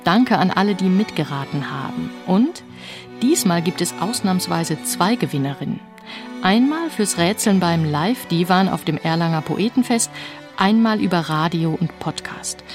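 A woman presents on the radio in a scripted style. Her pitch is a little low, and music plays clearly behind her words. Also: darkish tone.

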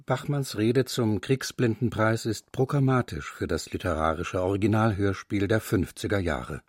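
A man's voice: fairly low pitch, normal speed, dry recording, studio quality. A man narrating a radio feature in a composed manner. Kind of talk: scripted narration.

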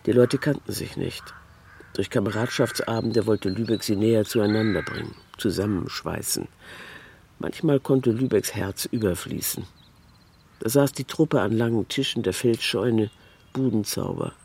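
A man's voice: fairly low pitch, moderate pace, vocal fry, a little mellow, slight background noise. A man reading a scripted literary documentary narration in a sober, factual manner. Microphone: close studio microphone, dry.

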